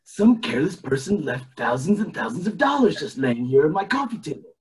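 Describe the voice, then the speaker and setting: Casting: man playing a scheming old cartoon tycoon, theatrical and drawn out, highish pitch, very animated. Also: a little fast. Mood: sly. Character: scheming, self-pitying old male nuclear plant tycoon. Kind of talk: amateur table read of a cartoon comedy.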